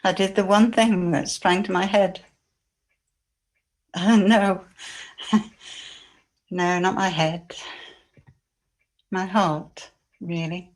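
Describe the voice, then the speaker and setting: A woman playing a grieving, devoted biblical woman, tender and anguished, in a halting, emotional dramatic monologue for church. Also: mid-range voice, natural voice.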